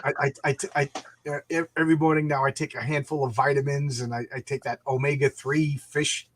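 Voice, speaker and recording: A man's voice: mid-range voice, flat delivery, brisk. A man in his fifties hosting a casual live chat, rambling through anecdotes in a jokey, self-deprecating way. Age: 50s. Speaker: live stream host chatting about comics.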